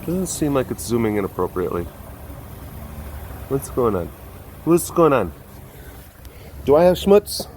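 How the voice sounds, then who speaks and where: A playful man with a Wisconsin accent chats in a rambling, jokey way for his vlog. He speaks at an ordinary male pitch, recorded outdoors with faint noise behind him. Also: sing-song, a little slow, pauses often, darkish tone. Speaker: animal sanctuary vlogger. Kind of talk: casual vlog chat.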